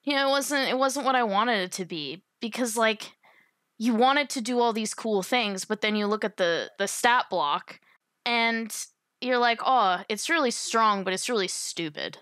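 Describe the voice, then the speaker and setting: A woman's voice: fairly high pitch, moderate pace, a bit bright, dry recording, clean studio sound. A woman chatting casually about tabletop gaming in an enthusiastic, emphatic, colloquial way.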